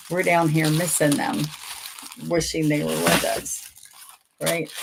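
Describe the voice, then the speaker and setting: A woman's voice: sits low, a little hiss or hum, low-quality recording. An older woman, chatting folksily as she teaches a painting lesson and greets viewers by name. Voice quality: raspy. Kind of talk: casual livestream painting lesson.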